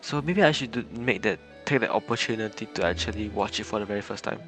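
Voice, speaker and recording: A man's voice: fairly low pitch, quick pace, fairly bright tone, soft background music. A man streaming a video game, chatting casually, laughing, and reacting on the fly. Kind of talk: casual live-stream commentary.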